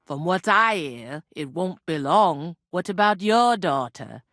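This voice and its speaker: An actress playing a brusque, gossipy, world-weary medieval village innkeeper in a video game, talking in blunt, earthy, scolding phrases. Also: female voice, lowish voice, expressive, takes it slowly, a bit bright, no echo, clean studio sound, heavily acted. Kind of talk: video game character dialogue.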